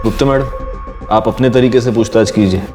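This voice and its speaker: An actor playing a stern police officer who firmly accuses suspects during interrogation, over background music. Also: male voice, medium pitch, steady tone, fairly quick, a little mellow, natural voice. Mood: accusing. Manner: accusing, firm. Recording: music score underneath.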